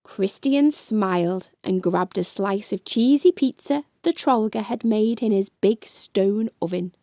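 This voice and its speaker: A woman's voice: medium pitch, unhurried, mellow, no room sound, clean studio sound. A woman storyteller reading a children's bedtime story aloud.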